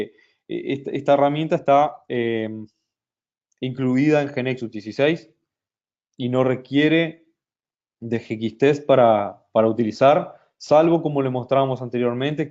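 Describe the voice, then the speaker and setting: A man presenting a software product in a webinar, talking slowly with many fillers and restarts. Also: medium pitch, lo-fi sound. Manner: hesitant, many fillers and restarts, speaks slowly in Spanish for a Brazilian audience.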